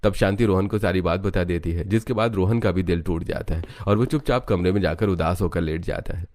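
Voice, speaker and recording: A man's voice: sits low, fairly quick, a little mellow. A man narrating a scripted family story as a storyteller.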